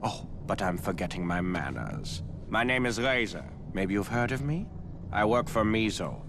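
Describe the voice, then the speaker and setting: A man's voice: lowish voice, expressive, normal speed, noisy background. An actor playing a smug, menacing villain racer in a video game, drawling and mock-polite as he taunts. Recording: music behind the voice.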